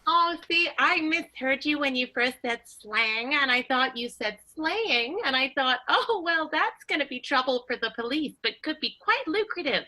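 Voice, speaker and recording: This is an arch lady star of the 1920s in a comic radio play. Her voice is high and bright. She chooses words haltingly, with coy, careful politeness. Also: expressive, poor audio. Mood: polite, hesitant.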